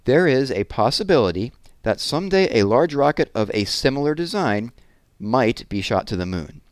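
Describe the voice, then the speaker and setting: A man's voice: medium pitch, very animated, normal speed, no room sound, studio quality, voice pushed up, close to an everyday voice. A man voicing an earnest, misunderstood professor who explains carefully and patiently in a storybook reading.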